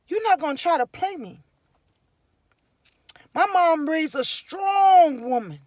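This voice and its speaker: Liberian-accented woman vlogger, high voice, dry sound, emphatic and preachy. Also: dry recording. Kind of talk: casual personal storytelling to camera.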